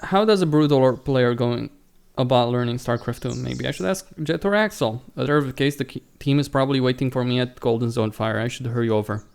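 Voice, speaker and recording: A man's voice: mid-range voice, brisk, creaky, few pauses, a little mellow, clean tone. A man hosting a let's play, reading visual novel dialogue aloud and adding casual commentary, stumbling and correcting himself as he goes. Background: game music.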